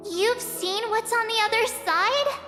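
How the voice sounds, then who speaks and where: A woman's voice: very high-pitched, measured pace. An actress playing an eager, cheerful young girl lost in an empty void, in a video game dub. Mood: alarmed. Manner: bubbly, questioning, eager. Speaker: game character. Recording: reverberant game scene with music.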